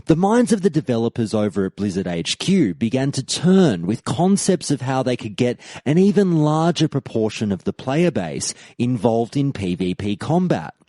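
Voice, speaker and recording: A man's voice: medium pitch, big pitch swings, normal speed, hardly any pauses, dark tone, no echo, studio quality. A man hosting a history podcast, reading a scripted, earnest account and quoting old forum posts. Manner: earnest storyteller, reads quoted posts aloud. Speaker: podcast host.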